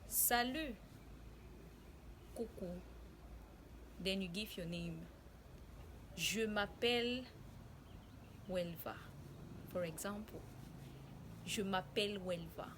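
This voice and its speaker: A young woman from Cameroon teaching a beginner language lesson, saying each example phrase slowly and clearly. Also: medium pitch, background noise. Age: young adult. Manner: slow and deliberate, spelling out example phrases. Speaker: French teacher.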